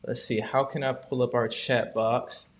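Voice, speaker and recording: A man's voice: medium pitch, little pitch movement, unhurried. A man from Georgia hosting a live question-and-answer stream, explaining updates in an upbeat, conversational way with frequent ums. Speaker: host.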